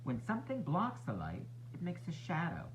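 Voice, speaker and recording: A man narrating a children's storybook as a teacher would, expressive and instructive for young listeners. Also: on the high side, normal speed, a little hiss or hum, low-quality recording. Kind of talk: children's read-aloud narration.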